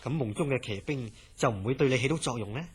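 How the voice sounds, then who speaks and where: A man plays a calm psychiatric hospital director in a radio play. His voice is very rough and husky at an ordinary male pitch, measured and placating, with a Hong Kong Cantonese accent. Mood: reassuring. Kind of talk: Cantonese horror radio drama acting.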